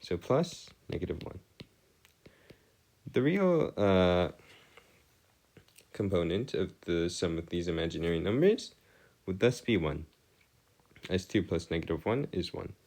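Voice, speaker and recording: A man tutoring in an improvised explanatory lesson, with formal wording and halting, self-correcting delivery. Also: sits low, big pitch swings, creaky, a little mellow. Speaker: math tutor.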